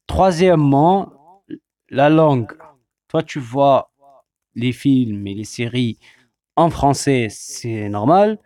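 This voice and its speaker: A man's voice: medium pitch, animated, slow pace, long pauses, dark tone, no room sound. Hesitant man, dry close sound. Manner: hesitant, many "euh" fillers, listing points. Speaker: co-host. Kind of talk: casual couple chat.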